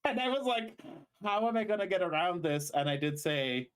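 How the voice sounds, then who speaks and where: A man's voice: sits high, lively intonation, moderate pace, clean tone, poor audio. Zimbabwe-raised man, rambling podcast storyteller. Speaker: podcast co-host. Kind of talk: casual chat and storytelling.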